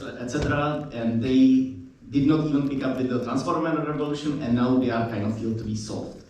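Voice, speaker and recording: A man's voice: mid-range voice, mellow, slight background noise. Man speaking with hesitation in an echoey hall. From Czech Republic. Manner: hesitant, filler-heavy, slightly halting English. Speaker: panelist, researcher. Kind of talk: opening remarks on a panel. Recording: very echoey room, distant mic.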